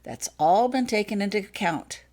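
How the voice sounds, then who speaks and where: A woman voicing a courteous, quietly commanding wizard father in an audiobook story, speaking smoothly and persuasively. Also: medium pitch, expressive, moderate pace, pitched above the performer's own voice, natural voice. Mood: reassuring. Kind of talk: acted fanfiction audiobook dialogue.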